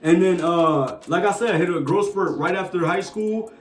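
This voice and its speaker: A man's voice: high voice, normal speed, distant mic. A man in his twenties from New Jersey with a Jersey accent, casually answering viewer questions in a laid-back, slangy way full of fillers. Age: 20s. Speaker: sneaker YouTuber. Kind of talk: casual Q&A vlog. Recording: echoey room, off-mic, faint music.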